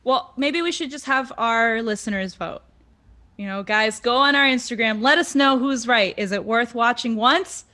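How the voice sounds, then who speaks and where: A woman's voice: on the high side, moderate pace, bright, forceful tone. A woman from Brazil, a podcast co-host, chatting about a horror movie with lots of fillers and laughter. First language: Portuguese. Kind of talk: casual movie review chat.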